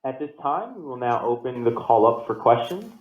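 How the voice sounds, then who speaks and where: A man's voice: medium pitch, very animated, moderate pace. A man working as a conference call operator, giving scripted instructions in a polite, formulaic way. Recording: tinny phone line, roomy echo.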